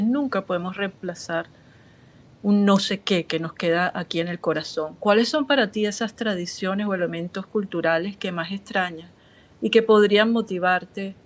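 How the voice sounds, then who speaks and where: A woman hosting an interview, asking long, framed questions with fillers. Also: fairly low pitch. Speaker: interview host.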